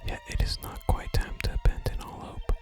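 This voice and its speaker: An ASMR gamer voicing a solemn, archaic-speaking sage from a fantasy game in a hushed whisper. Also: brisk, lightly acted. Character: solemn archaic sage guarding a sacred staff. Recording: soft music under the whisper.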